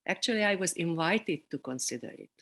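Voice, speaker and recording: A middle-aged woman with a Hungarian accent, speaking reflectively as an interview guest, pausing between short phrases. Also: on the low side, expressive, a bit bright. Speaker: interview guest, seminary program director.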